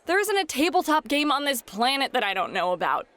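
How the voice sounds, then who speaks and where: A woman's voice: high-pitched, fairly quick, no echo. A woman playing a playful, teasing fairy hostess and game master in a flirty ASMR roleplay, talking straight to the listener. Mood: excited, proud. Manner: flirty, teasing, excitable, addresses listener directly.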